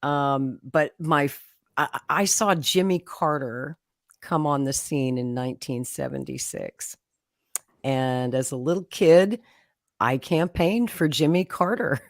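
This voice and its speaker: A woman tells her story as a guest on a talk show. Her voice sits low, and the sound is dry and close. She talks in a chatty way, laughing often and acting out the words of others. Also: slow pace, no echo. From Oklahoma, USA. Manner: chatty, frequent laughter, acts out quotes. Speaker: political guest. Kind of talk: conversational storytelling.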